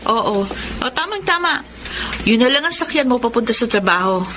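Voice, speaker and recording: A surly, disapproving Filipino stepdaughter in a radio drama. She speaks fairly high over clearly noisy ambient effects. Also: very animated, moderate pace. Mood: brisk. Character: grumpy, disapproving stepdaughter of the wife.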